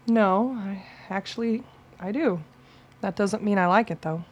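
A woman's voice: mid-range voice, unhurried, highs cut off, lightly acted. An actress playing a weary, guarded young woman on the run who talks bluntly and swears. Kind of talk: radio play acting.

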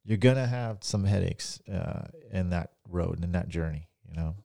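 A man chats easily as a guest on his wife's podcast, teasing her now and then. His voice is low and gravelly. He speaks into a studio microphone with a close, dry sound. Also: normal speed, mellow, no room sound. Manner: easygoing, teasing. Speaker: podcast guest, host's husband. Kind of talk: casual podcast chat.

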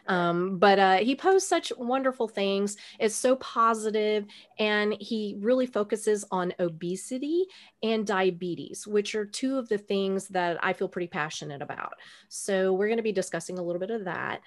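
A woman's voice: medium pitch, normal speed. A woman hosting a podcast interview, talking enthusiastically and repeating words. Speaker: podcast host. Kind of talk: casual interview.

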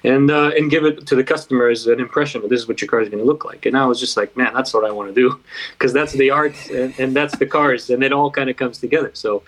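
A man from Bulgaria who moved to the United States speaks as a designer being interviewed. His voice is mid-pitched, and he explains things in a casual, reflective storytelling way. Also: steady tone, fast, fairly bright tone, poor audio. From Bulgaria, later United States. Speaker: car designer, interview guest.

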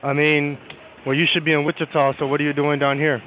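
A man's voice: fairly high pitch, level tone, a little fast. A man working at a bowling alley, answering a customer call in a polite, patient, hesitant way. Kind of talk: customer service phone call. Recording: noisy narrow tinny phone line.

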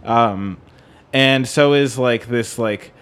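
A man hosting a podcast in casual music discussion, talking in a halting way and peppering it with "like". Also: mid-range voice, a bit bright, smooth. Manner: halting, hedging, heavy use of "like". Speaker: podcast host.

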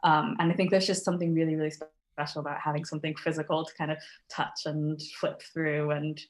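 A chatty young woman with an American accent, her voice sitting a little low, talking easily as a podcast guest. She pads her thoughts with ums and quick laughs. The sound is roomy, with a clear echo around her. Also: level tone. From United States. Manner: chatty, laughs often, many ums and restarts. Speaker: podcast guest, crochet designer. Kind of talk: casual interview chat.

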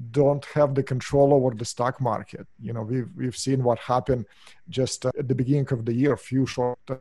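A middle-aged man from Russia with a Russian accent, a business owner explaining his work in an interview, pausing often with fillers. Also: mid-range voice, dark tone, no room sound. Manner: hesitant, many uhs and restarts. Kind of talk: interview answers.

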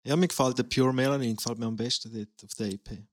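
A man's voice: mid-range voice, steady tone, quick pace, no echo, clean studio sound. A man hosting a casual podcast interview and asking conversational questions. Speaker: podcast host.

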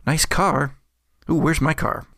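Man as cheeky youngster, slangy, chatty, nosy, creaky, tabletop game character. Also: on the high side, steady tone, slow, a bit bright, no room sound, clean studio sound, full character voice. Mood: curious.

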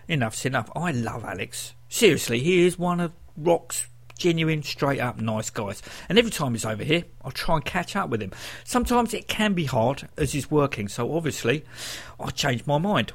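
A man presenting a rock radio show, telling personal anecdotes between songs. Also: fairly high pitch.

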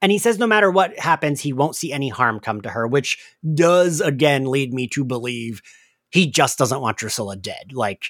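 Animated podcast host, lively man. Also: medium pitch, moderate pace, dry recording, studio quality. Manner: animated, chatty, filler-heavy with frequent "like". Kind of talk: casual recap discussion.

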